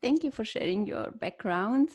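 A woman's voice: on the high side, expressive, normal speed, a little mellow, breathy edge, muffled recording. A woman speaking as a podcast host, introducing and interviewing in a prepared way. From Serbia. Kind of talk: scripted introduction and interview questions.

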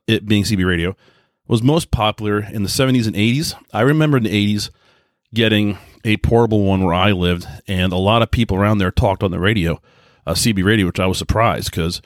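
A middle-aged man with an American accent talking casually and informally in a rambling monologue, often halting and rephrasing himself. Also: on the low side, dry recording, studio quality. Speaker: podcast host, radio hobbyist.

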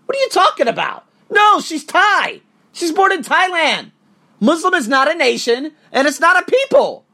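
A man hosting a podcast, delivering an emphatic, profane monologue to camera with sudden rises in pitch and frequent restarts. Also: very animated, normal speed, vocal fry, sharp tone. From United States. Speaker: podcast host.

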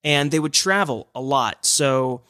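A man's voice: mid-range voice, measured pace, sharp tone, dry recording, clean studio sound. Earnest man explaining hearing health. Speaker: hearing care professional. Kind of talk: educational podcast talk.

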